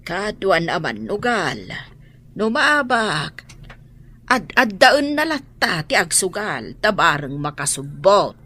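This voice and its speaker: Radio drama woman: forceful, swooping, argumentative complainer, slight background noise. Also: on the low side, big pitch swings, slow, exaggerated voice. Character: complaining, argumentative grown woman fretting over gambling. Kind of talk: Ilocano radio drama acting.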